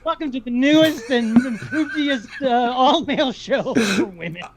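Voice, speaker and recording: A man's voice: very high-pitched, moderate pace, husky. A man co-hosting a show with casual, jokey banter. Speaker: show co-host. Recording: music behind the voice.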